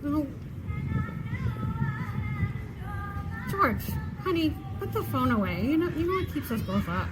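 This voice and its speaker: High-voiced, teasing wife played by a woman. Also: big pitch swings, fairly quick, over loud music. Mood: wry. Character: teasing, practical wife, affectionately sardonic. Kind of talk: stage comedy acting. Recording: noisy live stage play recording.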